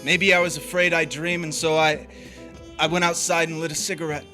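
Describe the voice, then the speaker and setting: A man's voice: fairly high pitch, flat delivery, brisk, music in the background, close to an everyday voice. A man playing a restless, smitten drifter in a crime drama, terse and uneasy. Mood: brooding narration. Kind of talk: crime drama acting with first-person narration.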